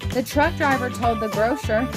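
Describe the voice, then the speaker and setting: A woman reading a children's picture book aloud in an expressive storytelling way, drawing out the ends of phrases. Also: on the high side, moderate pace, over loud music. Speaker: storybook reader.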